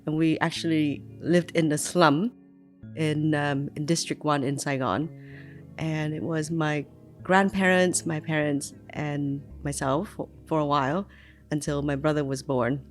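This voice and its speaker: A woman's voice: sits low, fairly even intonation, a little slow, faint music behind. A woman in her forties from Vietnam, recalling her childhood in an unscripted, reflective way, with frequent ums and likes and restarts in her sentences. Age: 40s. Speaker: migrant telling her life story. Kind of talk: unscripted personal memoir.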